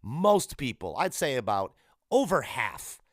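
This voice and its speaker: A man with a mid-pitched voice talks casually as the solo host of a gaming podcast. He rambles along irreverently and swears freely. The recording is dry, with very little room sound. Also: sing-song, measured pace, no echo.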